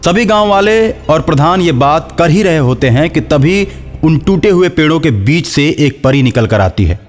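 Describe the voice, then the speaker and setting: A man's voice: on the high side, expressive, normal speed. A man narrating a scripted horror folk story as a storyteller. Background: faint music.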